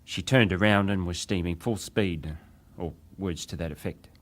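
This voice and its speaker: An actor playing a ship's wireless operator, a respectful but defensive witness giving clipped testimony in a dramatised hearing. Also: male voice, deep voice, moderate pace, natural voice. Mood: respectful, matter-of-fact. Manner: clipped, respectful, matter-of-fact.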